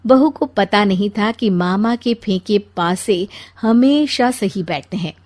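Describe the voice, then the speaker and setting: A woman's voice: medium pitch, slow, a little mellow. A woman narrator reading a scripted comic story.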